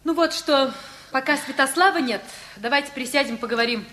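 Woman, high voice, bossy young wife, scolding sarcasm, radio play. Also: a little fast, bright, forceful tone, slight background noise. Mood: grave. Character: bossy, sharp-tongued wife of about thirty.

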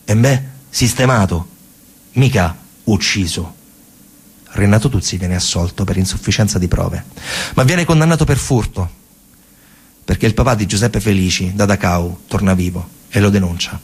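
A man with a central Italian, Roman accent performing a colloquial, emphatic theatrical storytelling monologue, pausing and repeating words, in a gravelly, husky voice. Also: on the low side. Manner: colloquial, emphatic, with pauses and repetitions. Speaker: stage actor and narrator.

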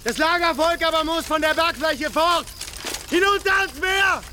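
A man's voice: very high-pitched, moderate pace, noisy background. An actor playing a male military commander who barks urgent orders in a radio drama. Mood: commanding.

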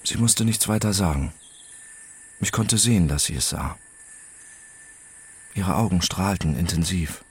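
An actor playing an introspective, obsessive man who narrates his own story in a radio drama, deliberate in his delivery. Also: low-pitched, slightly rough, full character voice. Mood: reflective.

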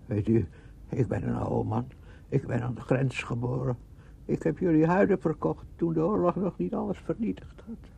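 An actor playing a frightened, confused refugee of about eighty in a radio play, faltering and repeating himself. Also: male voice, fairly high pitch, normal speed, creaky, warm tone, a little hiss or hum. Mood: weary, confiding. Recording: dull archive radio recording.

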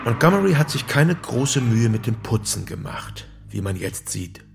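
A dry, matter-of-fact police detective in a naturalistic radio drama. He probes calmly at a middling pitch, with clear ambient noise around him. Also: moderate pace. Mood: matter-of-fact, probing. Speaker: actor in an audio drama.

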